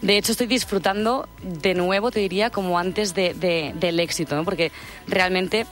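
A woman's voice: on the low side, normal speed, soft background music. A woman from Catalonia, a singer guest in a radio studio, talking in a chatty, reflective way with many fillers. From Catalonia, Spain. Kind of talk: radio interview.

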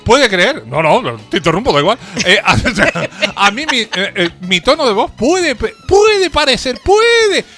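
A man with a Canarian Spanish accent co-hosting a radio show in casual comic banter, excitably repeating words for emphasis. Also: very high voice, unhurried, few pauses, soft background music.

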